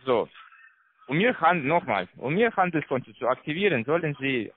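A man with a foreign accent, not a native German speaker, giving a halting, repetitive telephone sales pitch as a call center salesman. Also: very animated, slightly rough. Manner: halting, repetitive, broken grammar. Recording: tinny narrow phone line.

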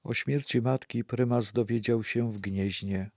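Male narrator for a documentary audio play, soft mellow tone, close dry recording. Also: mid-range voice, fairly even intonation, unhurried, no room sound.

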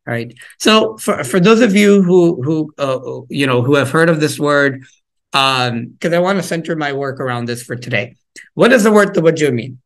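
Male workshop presenter on a video call, conversational, lots of "you know" and restarts, engages the room. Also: a little high. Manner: conversational, engaging the audience with questions; frequent fillers and repeated words. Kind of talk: interactive parenting workshop talk.

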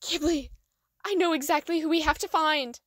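A woman playing an eager, outspoken young female dragon, blunt and expressive, in an audio drama. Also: sits high, moderate pace, dry recording, clean studio sound. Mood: determined.

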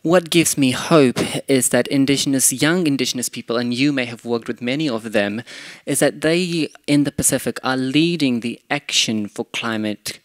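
A man's voice: medium pitch, normal speed, few pauses, dry recording. A young man from Fiji speaking earnestly on a conference panel into a handheld microphone. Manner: earnest, impassioned. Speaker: youth panelist. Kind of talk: panel discussion. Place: conference room with window blinds.

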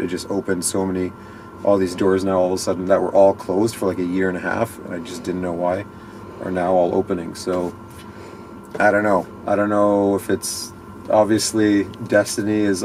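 Deep, flat-voiced man rambling earnestly. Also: slight background noise. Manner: earnest, rambling, with many restarts. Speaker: guest, ski racer. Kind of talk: casual interview chat. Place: inside a small parked vehicle at night.

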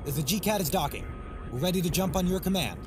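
High-voiced, dutiful young-sounding lieutenant, a man acting. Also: a little fast, noisy background, lightly acted. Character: brisk, dutiful male rebel lieutenant. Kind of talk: sci-fi audio drama acting.

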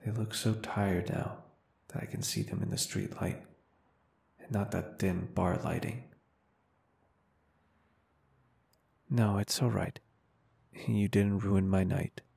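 A man's voice: low voice, vocal fry, darkish tone, slightly rough, dry recording, full character voice. A man playing a caring, flirty and confident business owner in an intimate ASMR roleplay, speaking in a reassuring, polite and earnest way. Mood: concerned, soothing.

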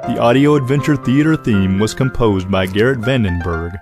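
A man's voice: medium pitch, moderate pace. A man reading scripted closing credits as the announcer of an audio drama. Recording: music playing behind voice.

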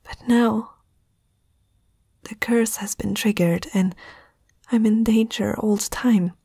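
Casting: young fantasy princess played by a woman, proud but uneasy, very breathy whisper, unhurried, intimate ASMR delivery. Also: on the high side, steady tone, warm tone, dry recording, studio quality, full character voice. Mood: anxious, questioning. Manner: hesitant, slow, intimate. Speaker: ASMR roleplay performer.

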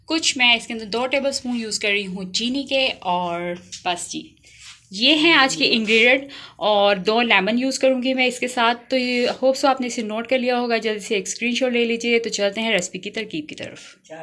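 Bright-voiced woman, chatty cook. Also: fairly high pitch, normal speed. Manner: chatty, addresses viewers directly, mixes in English phrases. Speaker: home cook hosting a recipe channel. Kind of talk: casual recipe demonstration. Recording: noticeable background noise, kitchen clatter.

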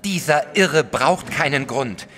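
A man's voice: a little high, expressive, slow pace, bright, forceful tone, heavily acted. An actor playing a small, self-righteous, jealous male artist in an audio drama, vehement and defensive in manner. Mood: agitated. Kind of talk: German audio drama acting.

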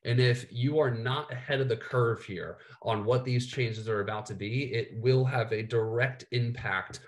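A man's voice: mid-range voice, fairly even intonation, normal speed. A man in his thirties with a cold, presenting slides in a casual way, saying "all right" and "uh" a lot. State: has a cold, slightly nasal, coughing. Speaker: business coach presenting to coaches. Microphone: webcam, screen-share video call.

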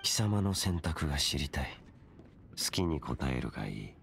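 A man voicing a cold, mysterious young wandering warrior in a Japanese game, speaking in a measured, stern, formal way. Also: very deep voice, very animated, vocal fry, a bit bright, a little hiss or hum, heavily acted. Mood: cool, measured.

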